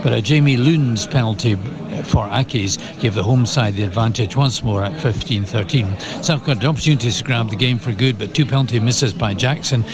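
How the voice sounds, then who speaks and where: A man's voice: mid-range voice, brisk, few pauses, crowd noise. A man giving a scripted rugby match report as a reporter from an outdoor ground.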